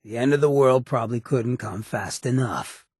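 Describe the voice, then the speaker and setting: An actor voicing a cocky, roguish, streetwise ghoul companion in a video game, with a very raspy, gravelly voice and a sly, laid-back swagger. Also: male voice, mid-range voice, moderate pace, darkish tone, no echo, clean studio sound, lightly acted. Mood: wry musing.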